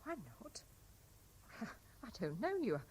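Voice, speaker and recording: A woman's voice: on the high side, sing-song, slow, darkish tone, slight background noise. An actress playing a weary, coolly sceptical young Frenchwoman, polite and reserved, in 1970s radio drama style. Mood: guarded, weary.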